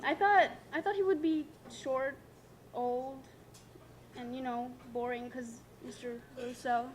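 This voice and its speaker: A young woman, giving a prepared tribute speech as a student, giggly and self-conscious between lines. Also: sits high. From Nepal. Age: late teens.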